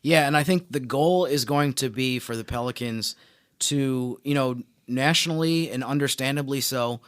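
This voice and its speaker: A man co-hosting a sports podcast as a reporter, giving casual analysis in a measured, halting way. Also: medium pitch, smooth, no room sound, clean studio sound. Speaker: team reporter and podcast co-host.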